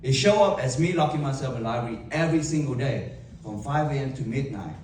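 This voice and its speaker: A young man with a Vietnamese accent giving an emphatic motivational keynote to a live audience, with dramatic pauses and rhetorical questions. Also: mid-range voice, animated, a little mellow, a little hiss or hum. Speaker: motivational speaker. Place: large event hall. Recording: echoey hall from far off.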